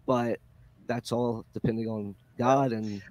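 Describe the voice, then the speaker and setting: A man's voice: mid-range voice, steady tone, measured pace, a little mellow, no echo. Sick man co-hosting, dry close sound. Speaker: co-host and debater. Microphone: headset and desk mic on video call.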